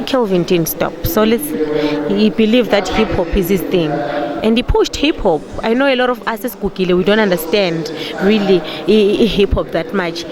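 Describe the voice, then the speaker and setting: A woman's voice: mid-range voice, moderate pace. A woman speaking to an outdoor gathering, emphatic and encouraging. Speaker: event speaker. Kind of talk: motivational speech. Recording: crowd and traffic noise.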